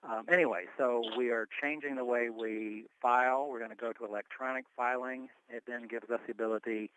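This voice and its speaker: A man with a clear, smooth voice talks through an update on a telephone conference call. He explains things step by step in an informal, conversational report. The sound is close and dry, at a middling pitch. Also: fairly even intonation, fairly quick, fairly bright tone, no echo, poor audio. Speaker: board member reporting on tax filing. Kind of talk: informal spoken report at a meeting.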